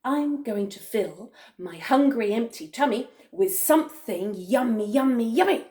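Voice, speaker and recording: A big greedy storybook crocodile, acted out by a woman, gloating over every word. The delivery is very slow and drawn out, full of sly menace and wide swoops. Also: a little high, expressive, mellow.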